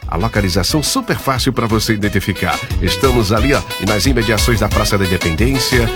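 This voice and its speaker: A man's voice: lowish voice, animated, quick pace. A man hosting a local radio show, reading ads and sending greetings in an exuberant sing-song way. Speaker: radio host. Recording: radio studio with loud music bed.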